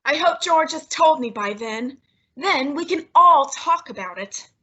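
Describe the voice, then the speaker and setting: A woman playing a flustered, secretive and affectionate housewife in an old-time radio sitcom, with animated, exclamatory delivery. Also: sits high, unhurried, lo-fi sound, full character voice. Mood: coy, cheerful. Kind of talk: 1940s radio sitcom acting.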